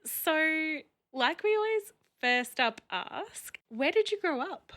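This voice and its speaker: A woman's voice: sits high, animated, slow pace, fairly bright tone, dry recording, clean studio sound. A woman hosting a podcast in a friendly, upbeat way. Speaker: podcast host. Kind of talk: casual interview hosting.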